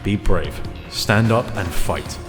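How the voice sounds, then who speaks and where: Man acting a deep, rousing ally, cavernous reverb. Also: takes it slowly. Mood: rousing, urgent. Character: rousing, encouraging male ally urging a fighter on. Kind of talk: dramatic fan dub voice acting. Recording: long hall reverb under music.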